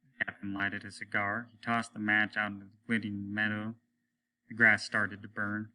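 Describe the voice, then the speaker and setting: Very bright-voiced man narrating a story. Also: lowish voice, completely flat delivery, moderate pace. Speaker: teacher reading a story aloud. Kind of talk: audiobook narration of science fiction.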